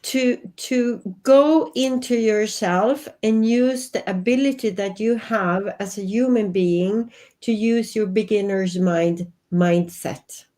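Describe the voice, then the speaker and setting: A woman's voice: medium pitch, takes it slowly, few pauses, mellow. Swedish lady in her 50s, takes her time, earnest, on a video call from home. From Sweden. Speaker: guest. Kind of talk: reflective interview talk. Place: home office.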